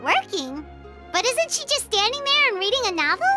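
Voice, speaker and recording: Very high, childlike fairy sidekick. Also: female voice, sing-song, moderate pace, loud music behind the voice. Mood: curious, chatty. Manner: speaks of self in third person, exclamatory. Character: small excitable floating fairy companion, childlike, chatty. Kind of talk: video game character dialogue.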